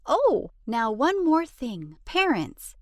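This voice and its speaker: A woman reading a rhyming children's book aloud in an expressive, sing-song storyteller's delivery. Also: high voice, slow pace, dry recording.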